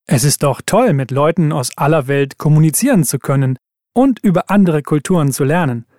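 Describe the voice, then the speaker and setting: A man with a slightly raised voice reading scripted texts with even, careful delivery. The recording is dry and close, with no room sound. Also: fairly high pitch, fairly quick, no echo. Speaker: exam recording reader.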